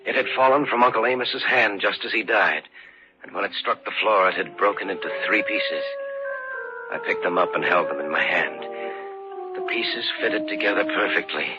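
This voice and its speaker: An actor playing an uneasy young nephew in an old-time radio horror drama, speaking in an earnest, dramatic way. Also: male voice, on the low side, normal speed, natural voice. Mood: grave. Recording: dull old radio recording, loud music.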